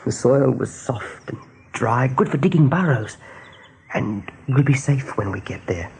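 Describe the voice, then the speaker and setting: A man playing a gentle, anxious young rabbit seer in a radio play, speaking with earnest wonder. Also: medium pitch, animated, measured pace, vocal fry, slight background noise. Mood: dreamy wonder.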